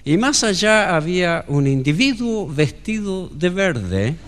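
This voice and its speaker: A man's voice: sits high, sing-song, slow, big-room sound. A male pastor with a Chilean accent preaching a sermon and acting out the dialogue of a story. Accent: Chilean Spanish.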